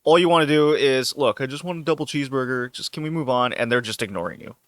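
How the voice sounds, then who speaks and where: A man's voice: medium pitch, fast talker, dry recording. A man hosting a casual podcast, loose and joking, laughing often as he talks. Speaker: podcast host. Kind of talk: casual chat.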